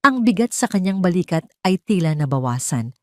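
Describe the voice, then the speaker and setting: A woman's voice: on the low side, big pitch swings, brisk, dry recording, studio quality. A woman reading a scripted romantic drama as a storyteller, with dramatic narration.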